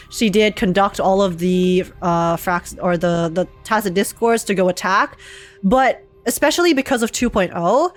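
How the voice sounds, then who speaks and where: A young woman giving casual game reaction commentary, slangy and rambling, often acting out what others might say. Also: mid-range voice. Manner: rambling, animated quoting, slangy. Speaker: game streamer reacting to a story. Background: faint game music.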